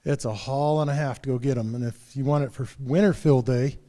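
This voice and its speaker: A man with a mellow, warm voice runs a club meeting in a room. His announcements are loose and rambling, full of small restarts. His pitch sits in the middle of the usual range. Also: a little fast. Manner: relaxed, rambling with fillers and restarts.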